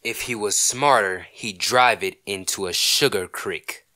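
A man's voice: fairly low pitch, measured pace, pushed and bright, no room sound, studio quality. A man playing a stubborn, scornful husband in an old-time radio comedy, curt, sardonic and dismissive.

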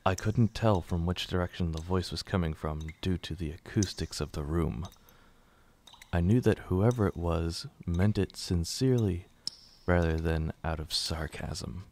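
A man reading scripted radio drama narration in a measured, serious manner. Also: sits low, a little mellow.

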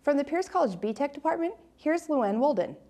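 A woman's voice: sits high, animated, brisk, mellow, soft background music. A woman host reading scripted narration for a magazine program.

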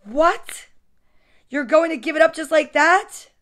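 A woman voicing an exasperated mother from a children's storybook, with dramatic, drawn-out outrage. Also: high voice, animated, fairly bright tone. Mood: incredulous.

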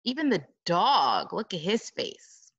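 A woman reading a picture book aloud to children like a teacher, dramatic and expressive, with exclaiming asides. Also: medium pitch, slow, husky, lo-fi sound.

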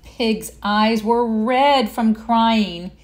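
Slow-paced woman reading a picture book. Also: a little high. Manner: gentle pauses to comment and ask child listeners questions. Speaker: storyteller. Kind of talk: children's picture book read-aloud.

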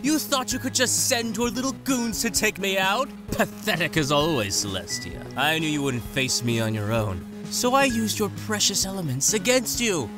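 A man voicing a gloating, mocking female pony arch-villain in a cartoon parody, with theatrical, drawn-out delivery. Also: high voice, animated, over music. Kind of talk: comic cartoon parody dub.

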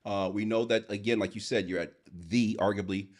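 A middle-aged man co-hosting a casual interview show, upbeat and quick to laugh, on a lapel mic in an indoor studio. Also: fairly low pitch. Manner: animated, upbeat, laughs often. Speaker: podcast co-host. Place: indoor studio set with armchairs.

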